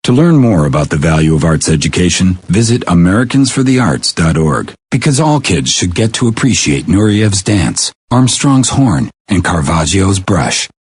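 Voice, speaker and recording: A man with a husky voice reading a scripted public service announcement. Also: low voice, big pitch swings, normal speed, few pauses, no echo.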